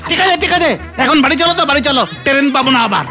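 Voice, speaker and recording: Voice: high voice, lively intonation, fast talker. An actor voicing a nagging but sensible companion in a comic cartoon. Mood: coaxing. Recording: background music under the voice.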